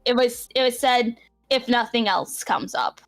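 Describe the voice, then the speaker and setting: A woman's voice: a little high, measured pace. A woman chatting casually on a podcast as a co-host, with a giggly way of talking. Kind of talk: casual chat. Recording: background music under voices. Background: background music.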